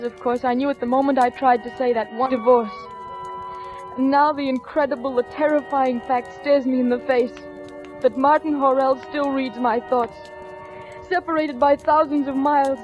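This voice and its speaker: An actress playing an anxious, haunted wife in a 1940s radio suspense drama, with intense, dramatic delivery. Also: female voice, high-pitched, darkish tone, close to an everyday voice. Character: anxious, haunted vaudeville wife longing for divorce. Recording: dull old radio recording with music.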